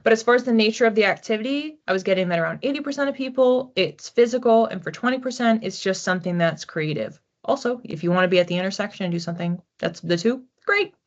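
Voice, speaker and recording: A woman reading oracle cards for a general audience in a relaxed, chatty way, thinking aloud as she goes. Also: mid-range voice, fast, hardly any pauses. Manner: chatty, thinking aloud with restarts and soft laughs. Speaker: psychic card reader. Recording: slightly dull lo-fi audio.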